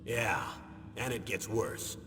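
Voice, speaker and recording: An actor playing a stern middle-aged military commander in a video game, speaking in a measured, grave way and leaning on names. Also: male voice, lowish voice. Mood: grave, dismissive. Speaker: former special forces commander. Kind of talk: dramatic video game voice acting. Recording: echoing reverberant hall, noisy game audio.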